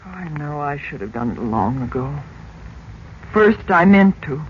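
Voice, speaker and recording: A woman's voice: deep voice, expressive, measured pace, a little hiss or hum. An actress playing an anxious middle-aged mother of two teenagers in 1940s radio drama style, on a dull recording. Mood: wistful, hesitant.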